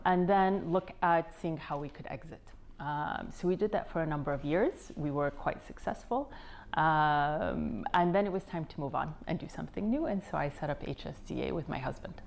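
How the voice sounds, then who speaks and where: An American woman in her forties, a physician and company founder, answering interview questions in a measured, thoughtful way with frequent ums. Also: low-pitched, a little mellow. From United States.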